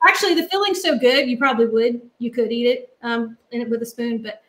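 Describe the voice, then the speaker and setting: A woman's voice: a little high, brisk, echoey room. A middle-aged woman cooking in her home kitchen and chatting on a video call, rambling casually with lots of ums. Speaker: guest cook. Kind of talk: casual cooking demo chat. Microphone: laptop webcam on a video call.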